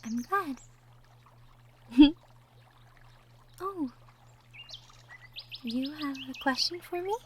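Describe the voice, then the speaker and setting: A woman playing a gentle, caring young girlfriend from the woods in a soft, intimate romantic ASMR roleplay, with tender murmurs. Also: high voice, animated, extremely slow, long pauses, full character voice. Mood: fond, teasing. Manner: soft, tender, breathy murmurs with light giggles. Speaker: audio roleplay performer. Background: birdsong and outdoor nature ambience effects.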